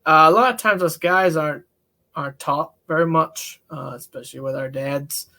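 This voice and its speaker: A man talking as a counselor in a casual, candid discussion, with a relaxed, folksy manner. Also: on the high side, normal speed. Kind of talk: casual podcast-style discussion.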